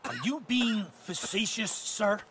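An actor playing a pompous, condescending army colonel who is easily riled, in a dramatic video game. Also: male voice, sits high, noisy background. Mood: indignant. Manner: pompous, halting and dismissive.

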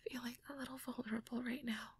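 A woman playing a shy, anxious young mage girlfriend in an intimate audio roleplay, hesitant and stammering in a breathy whisper. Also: on the high side, steady tone, fast, no room sound. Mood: self-conscious, vulnerable. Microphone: close microphone, dry.

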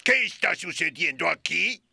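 A man voicing a blustery, grumpy older neighbour in a cartoon, with a gravelly voice and indignant delivery. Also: fairly high pitch, very animated, moderate pace, bright, forceful tone, no room sound, studio quality, exaggerated voice. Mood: irritated. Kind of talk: cartoon dub acting. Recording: music behind.

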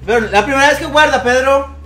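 A man's voice: very high voice, racing, fairly bright tone. A young adult man hosting a livestream, chatting casually and upbeat as he talks directly to viewers. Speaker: livestream host reading viewer comments. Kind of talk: casual excited stream chat. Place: indoor room, on webcam. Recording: echoey room with music behind.

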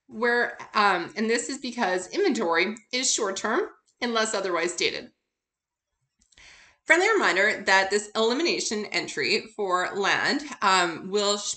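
A woman with a bright, forward voice delivers an instructional lecture in an informal way. She sounds upbeat and encouraging, speaking straight to her students as she explains. Her pitch sits in an ordinary middle range for a woman. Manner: upbeat, encouraging, addresses listeners with questions. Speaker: accounting instructor. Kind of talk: lecture narrated over slides, informal.

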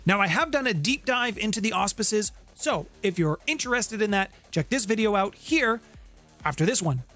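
A middle-aged man hosting a scripted explainer in a theatrical, emphatic way with dramatic pauses, close on a studio mic. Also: sits high, animated, bright, forceful tone. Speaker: host of a tabletop gaming channel. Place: small home room with guitars on the walls. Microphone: close studio condenser mic with pop filter. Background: background music.

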